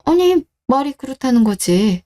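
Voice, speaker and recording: A woman's voice: high-pitched, sing-song, moderate pace, dark tone, voice pushed up. A woman playing a touchy, evasive husband in a scripted radio drama. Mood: dismissive.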